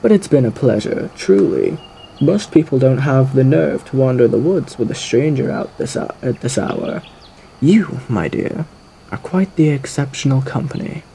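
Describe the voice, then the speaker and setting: Suave gentleman radio demon, swooping intonation, mellow dark tone, theatrical, flirtatious, faintly menacing, audio roleplay. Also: mid-range voice, lively intonation, moderate pace, gravelly, slight background noise. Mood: charming, ominous. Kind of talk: theatrical ASMR character roleplay monologue.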